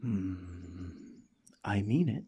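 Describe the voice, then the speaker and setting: A man voicing a cheerful, friendly alien parasite in a gentle, chatty ASMR roleplay, eager and reassuring. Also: low-pitched, animated, very slow, slightly rough, full character voice. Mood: cheerful, affectionate. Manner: gentle, chatty, playfully earnest.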